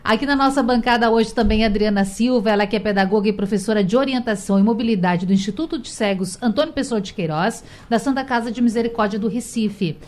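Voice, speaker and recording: A woman's voice: fairly high pitch, quick pace, few pauses, a little mellow. A woman hosting a radio talk show in a studio, welcoming guests and steering the debate in a conversational way. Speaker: radio host.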